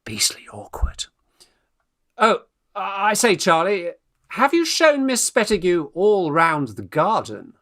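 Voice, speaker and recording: An actor playing a flustered young Victorian gentleman in a radio farce, British, stammering and exclamatory, eager in courtship and bossy with friends. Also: sits high, wildly swooping pitch, slow pace, heavily acted. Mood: awkward, insistent. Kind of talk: stage farce acting for radio play.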